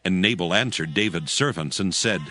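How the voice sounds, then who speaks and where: A man's voice: low-pitched, moderate pace, sharp tone. A man narrating scripture as a scripted dramatic reading. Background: music.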